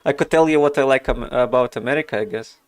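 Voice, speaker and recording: A man's voice: mid-range voice, fast talker, dry recording. A man from Russia with a Russian accent, chatting casually while streaming a game, thinking aloud with many fillers. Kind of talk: casual gameplay commentary.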